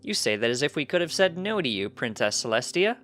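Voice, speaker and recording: A man plays a precocious little pony boy from a storybook, pitched high. He is cheerful and earnest in a childlike way, with music playing behind him. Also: big pitch swings, a little fast, a bit bright. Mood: chirpy, matter-of-fact. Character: small precocious winged-unicorn colt, cheerful and earnest.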